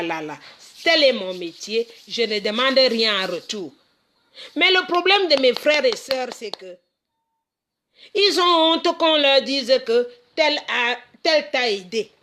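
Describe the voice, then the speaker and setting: A woman's voice: on the high side, fairly bright tone. A middle-aged woman from Cameroon speaking in an impassioned monologue, emphatic, repeating words and restarting her phrases. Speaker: community association founder.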